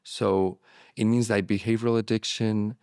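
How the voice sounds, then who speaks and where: Low gravelly man, reflective and halting. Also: little pitch movement, darkish tone, no echo, studio quality. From born in Morocco, raised abroad. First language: Spanish. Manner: reflective, halting with restarts and fillers. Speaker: guest, relapse prevention therapist. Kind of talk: candid interview talk.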